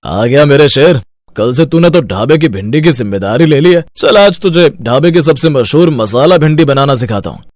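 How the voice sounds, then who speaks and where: A man plays a kindly owner of a roadside eatery in a cartoon moral tale. He mentors in an encouraging, instructive way at a medium pitch, recorded dry. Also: animated, normal speed, darkish tone, no room sound, lightly acted. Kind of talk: animated moral-story dialogue.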